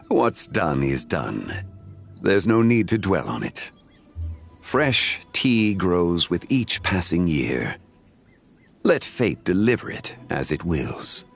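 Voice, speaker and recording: Casting: serene philosophical consultant, game role, slow measured pace, gravelly depth. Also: male voice, low voice, very animated, faint music behind, exaggerated voice. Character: calm, sage, dignified male consultant, cryptic and unhurried. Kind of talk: anime game voice acting.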